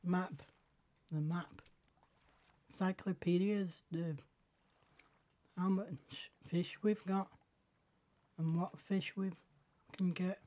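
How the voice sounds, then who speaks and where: A hoarse woman with a lingering cold streams a game, clearing her throat between slow, drawn-out remarks. Her voice sits low and sounds dark and mellow. She mutters little halting asides to herself as she plays. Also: fairly even intonation. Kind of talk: casual live game commentary.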